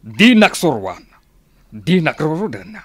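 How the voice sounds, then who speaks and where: A man's voice: on the high side, sing-song, slow pace, a bit bright, breathy edge, heavily acted. A Filipino man playing an arrogant, defiant village tough in a radio drama. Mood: defiant, dismissive.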